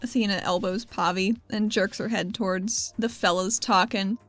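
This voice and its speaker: A woman's voice: medium pitch, fairly even intonation, normal speed, a bit bright. A woman playing a tabletop role-playing game, casually improvising narration in a playful, informal way. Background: background music.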